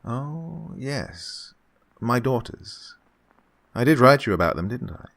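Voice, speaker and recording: A man's voice: mid-range voice, big pitch swings, slow, vocal fry, a bit bright, full character voice. A man playing a falsely genial, sinister employer in a radio suspense drama, over-courteous, unctuous and insistent. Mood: insistent, coaxing. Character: falsely genial, sinister male employer luring a young woman. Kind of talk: old-time radio suspense drama acting.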